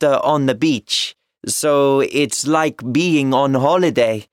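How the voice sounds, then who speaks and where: An actor reading a scripted listening exercise as a young man working in a beach shop, in a slow, clear, carefully paced delivery. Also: medium pitch, no echo, studio quality. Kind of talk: scripted textbook listening monologue.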